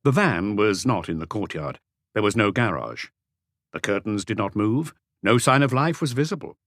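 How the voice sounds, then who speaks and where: A man's voice: fairly low pitch, expressive, normal speed, fairly bright tone, no echo, clean studio sound. A man narrating a mystery audiobook as a scripted reading.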